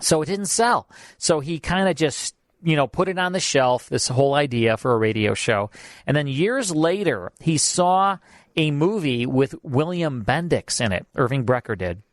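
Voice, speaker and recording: A man's voice: a little high, lively intonation, normal speed, dry recording, clean studio sound. A man hosting a radio show, chatting casually and rambling with lots of fillers as he introduces an old broadcast.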